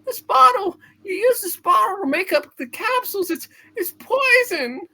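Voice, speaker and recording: A woman's voice: very high-pitched, expressive, moderate pace, sharp tone, pitched above the performer's own voice, full character voice, poor audio. A woman voicing an earnest, frightened young errand boy who stammers and pleads in an amateur radio play reading. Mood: tearful pleading.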